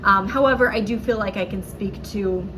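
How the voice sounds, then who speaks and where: A woman talks through a candle review from home in a loose, chatty way, filling pauses with "um" and correcting herself as she goes. A cold leaves her a touch nasal and sore-throated. Her pitch sits in the middle, and a steady hiss runs under the recording. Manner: chatty, lots of "um"s, self-correcting. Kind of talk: casual product review vlog. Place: at home indoors.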